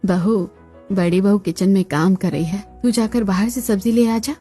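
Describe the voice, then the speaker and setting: A woman's voice: mid-range voice, steady tone, moderate pace, dark tone, breathy edge, soft background music, lightly acted. A woman voicing an older, bossy mother-in-law in a family cartoon, with a firm, matronly manner. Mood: instructing.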